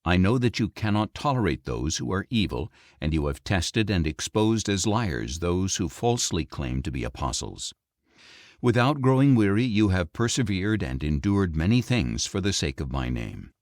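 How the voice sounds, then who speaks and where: A man reading scripture as an audiobook narrator, in a solemn, measured, declamatory way. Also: low-pitched, very animated, a little mellow, no echo, clean studio sound. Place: dry recording studio.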